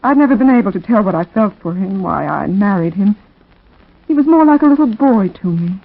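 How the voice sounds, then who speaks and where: A wistful, frank young widow speaks at a middling pitch. Her delivery is earnest and deliberate, in the manner of 1940s radio drama. The recording is muffled and old. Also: expressive, gravelly.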